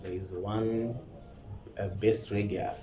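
A young man with a Zimbabwean accent, a musician guest giving calm, short answers in a studio interview. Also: lowish voice, slow pace. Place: indoor TV studio. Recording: roomy studio with faint noise.

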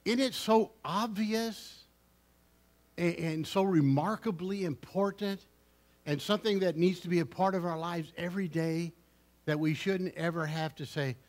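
An older man, a pastor, preaching a story-filled sermon in a church sanctuary, rising loud on key phrases and dropping low, recorded from a camera at the back. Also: unhurried. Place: church sanctuary with seated congregation. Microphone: far camera at the back of the church.

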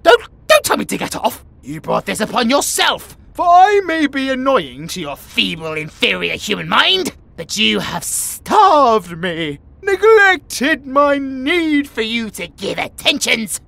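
Bratty haughty tiger boy, super pompous, swoops all over, bright and piercing, audio roleplay. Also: extremely high pitch, huge pitch swings, unhurried, vocal fry, few pauses, dry recording, full character voice. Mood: indignant. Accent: British. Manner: pompous, theatrical, mock-archaic outbursts. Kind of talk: comedic audio roleplay acting.

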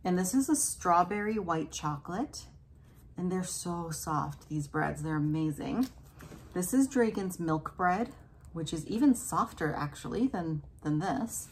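A woman in her thirties with a fairly low voice, talking gently and casually as she tastes food at her kitchen table. The camera sits in front of her, and a faint hiss of room noise stays under her voice. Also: a little slow. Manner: soft, relaxed, savoring food between remarks. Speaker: food vlogger. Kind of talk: casual mukbang chat. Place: small indoor room at a table.

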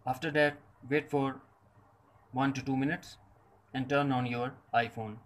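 A man's voice: mid-range voice, fairly even intonation, unhurried. A man reading a scripted step-by-step tech tutorial in short, separated instructional phrases. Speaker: tutorial presenter.

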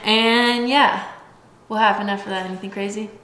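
A woman's voice: medium pitch, fairly even intonation, normal speed, fairly bright tone. A woman in her late twenties from Washington state, chatting casually and telling stories with lots of "like" and "um" at home. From Washington state, USA. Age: late 20s. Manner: chatty storyteller, giggly, lots of "like" and "um". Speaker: vlogger. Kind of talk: casual chat. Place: bright home kitchen, indoors. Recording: echoey room.

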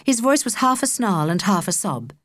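A woman with a British accent narrating a children's audiobook in an expressive, measured storytelling manner. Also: mid-range voice, dry recording, clean studio sound. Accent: British English.

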